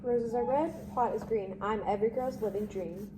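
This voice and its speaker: A woman's voice: a little high, normal speed, noisy background, lightly acted. A woman playing a crude, cocky, mocking teenage jock with smug dude-bro slang in amateur drama. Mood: bragging.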